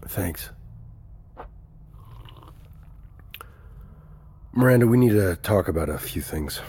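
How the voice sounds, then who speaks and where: A man's voice: low voice, animated, a little fast, mellow, husky, exaggerated voice. An actor playing an earnest male investigator and caring father in an audio drama, plain-spoken and hesitant but persistent. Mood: careful, grave. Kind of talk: naturalistic audio drama acting.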